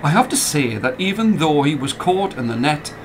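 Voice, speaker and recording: A man's voice: a little high, sing-song, normal speed, noisy background. A man narrating a scripted story as a dramatic storyteller. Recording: music playing under the voice.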